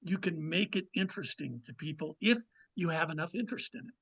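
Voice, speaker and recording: A man's voice: a little high, brisk, fairly bright tone, husky. A man, an author guesting on a podcast, chatting casually and reflectively in a hesitant way, on muffled audio.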